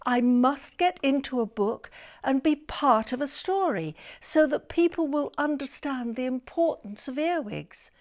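A woman's voice: sits high, lively intonation, unhurried, darkish tone, pitched above the performer's own voice. A woman voicing a feisty, gloomy little male earwig in an emphatic, theatrical storybook manner. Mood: determined.

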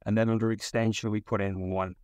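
A man with a fairly low voice leads a technical tutorial. He explains each step of a software setup in an instructional way. The sound is dry, with very little room in it. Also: flat delivery, fairly quick, clean tone, no echo. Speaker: tech support manager giving a tutorial.

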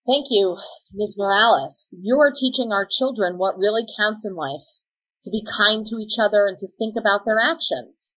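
A woman's voice: mid-range voice, moderate pace, fairly bright tone, lightly acted, poor audio. A woman playing a supportive, earnest school parent in a scripted video call dramatization. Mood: grateful. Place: home room, video call.

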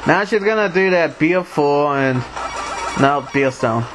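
A man's voice: a little high, lively intonation, normal speed. A man with a Mexican accent giving casual commentary over a game, grumbling, talking back to the characters on screen and humming to himself. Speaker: let's play commentator. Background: retro game music.

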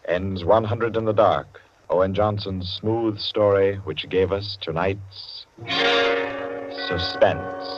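A muffled 1940s radio recording with prominent music behind. A male announcer reads in a low voice, formal and measured. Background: loud dramatic music.

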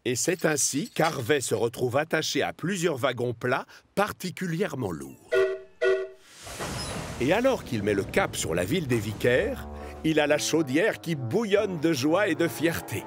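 A man narrating a children's cartoon as a friendly, expressive storyteller. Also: a little high, unhurried, soft background music. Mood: storytelling.

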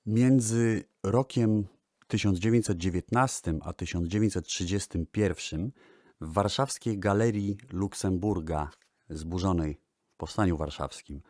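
A man with a deep voice presents like a radio host, telling things at an unhurried, measured pace. The sound is dry, with no room around the voice. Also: warm tone, dry recording. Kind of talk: radio-style music lecture.

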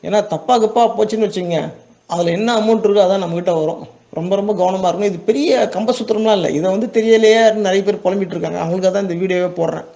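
A man's voice: high-pitched, rapid-fire, creaky, warm tone. A man with a Tamil Nadu accent giving an informal step-by-step tutorial, conversational, checking in with listeners and repeating words for emphasis. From Tamil Nadu, India. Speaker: export business owner giving a tutorial.

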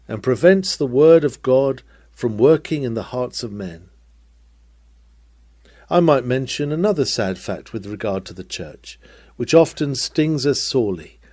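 A man reading an old-fashioned sermon aloud in an emphatic, declamatory manner with deliberate phrasing. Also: mid-range voice, a little mellow, no room sound. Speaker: sermon reader. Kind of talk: dramatic read-aloud of a 19th-century sermon.

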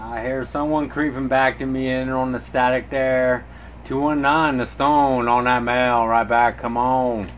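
A man's voice: medium pitch, level tone, a little slow. Man making CB radio calls over static. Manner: repetitive call-sign patter, friendly. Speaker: CB radio operator. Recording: noisy radio static in the room.

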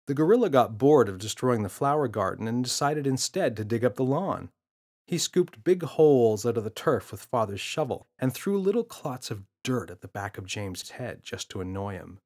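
A man narrating a children's story from a script, as an expressive storyteller. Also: mid-range voice. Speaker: author and audiobook narrator.

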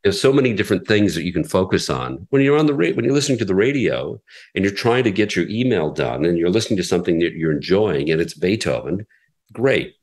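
A man in an interview, a radio executive explaining his station's approach in a measured way with frequent fillers. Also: low-pitched, animated, a little mellow. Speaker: classical radio station president. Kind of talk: conversational interview answers.

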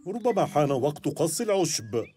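A jolly daddy pig. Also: male voice, on the high side, big pitch swings, moderate pace, over music. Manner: jolly, reassuring. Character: easygoing father pig, cheerful and sure of himself.

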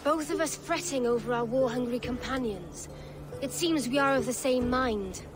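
An actress playing a dignified middle-aged noblewoman, formal and measured in her speech. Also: sits high, lightly acted. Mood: diplomatic. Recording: game soundtrack with music underneath.

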